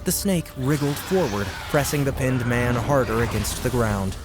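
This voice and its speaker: A man's voice: medium pitch, normal speed. A man narrating an audiobook with dramatic, scripted delivery. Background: loud music.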